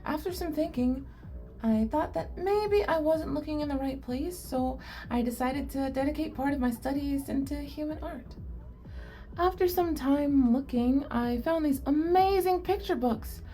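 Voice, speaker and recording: A woman's voice: sits high, unhurried, mellow, faint music behind. A woman playing a shy, curious, nerdy young goblin girl in an ASMR roleplay, speaking earnestly and expressively to the listener. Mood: earnest, puzzled.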